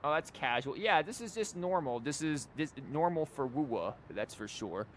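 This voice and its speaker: Wry man streaming over rumbly noise. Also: lively intonation, moderate pace. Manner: wry, rambling, mock-quoting. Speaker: game livestreamer. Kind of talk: casual live game commentary.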